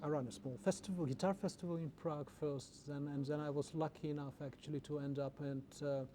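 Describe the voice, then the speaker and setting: A man who grew up in the Czech Republic, an orchestra's general manager, answering interview questions in a halting way with many repeated words. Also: a little high, fairly even intonation, warm tone. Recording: noisy background.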